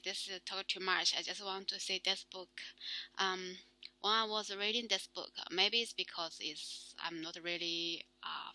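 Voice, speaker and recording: Young Chinese-accented woman, slow hesitant solo talk, fillers and restarts, somewhat low voice. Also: sharp tone, rough recording. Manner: halting, many fillers and restarts. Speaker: solo talk show host. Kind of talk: casual spoken practice monologue.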